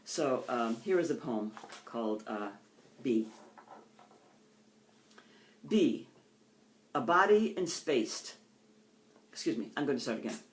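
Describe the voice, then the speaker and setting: An older woman with an American accent, a poet reading her own poems in deliberate phrases and introducing them informally. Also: rumbling low, animated, rough recording. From United States.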